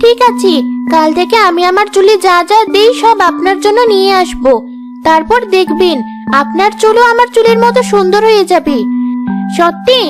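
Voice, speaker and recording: A woman doing a very high, polite young girl. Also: moderate pace. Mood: eager. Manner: polite and eager. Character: kind, polite orphan girl. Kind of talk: cartoon voice acting. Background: background music.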